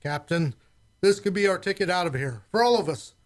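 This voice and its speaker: An eager starship chief engineer as acted in a radio play. The voice is mid-pitched and swings up and down with excitable, plain-spoken delivery. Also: a little fast, close to an everyday voice. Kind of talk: science fiction radio drama acting.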